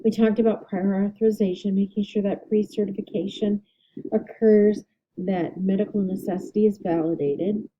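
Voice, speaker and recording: A woman instructor giving a recorded lecture, her voice a little hoarse, explaining steadily with ums and self-corrections. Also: medium pitch, steady tone, normal speed, dark tone, highs cut off, a little hiss or hum. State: slightly hoarse, clearing throat.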